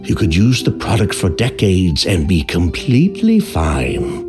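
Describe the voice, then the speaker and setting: A man's voice: low voice, wildly swooping pitch, measured pace, exaggerated voice. An actor playing a defensive, scheming middle-aged businessman in a game, dismissive in tone. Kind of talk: game voice acting. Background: game music.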